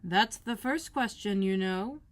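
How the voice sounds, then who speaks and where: A woman reader puts on a male footman creature from a storybook. He drawls very slowly and dully. He sounds indifferent and stubborn, as if nobody else matters. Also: medium pitch, close to an everyday voice. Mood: dull, stubborn. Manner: slow, dull, indifferent drawl. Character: slow, vacant, stubborn fish-faced footman creature, male. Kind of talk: storybook read-aloud character voice.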